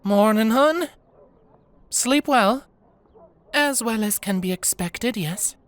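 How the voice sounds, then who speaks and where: Folksy middle-aged mother and baker, put on by a male narrator, high and teasing, bright lively delivery, dry close mic. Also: takes it slowly, heavily acted. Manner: folksy and playful, with a homely lilt. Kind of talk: storybook character voice.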